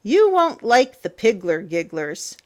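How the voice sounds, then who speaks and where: A woman reading a children's picture book aloud as a storyteller, in a theatrical, playful, emphatic way with drawn-out words. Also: mid-range voice, sing-song. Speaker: storyteller, teacher.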